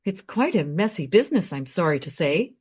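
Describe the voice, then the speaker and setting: Radio play researcher, female actor: knowledgeable, frank, brisk, very expressive pitch swings. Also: slightly rough. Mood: informative, tactful. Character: well-informed, matter-of-fact female history researcher.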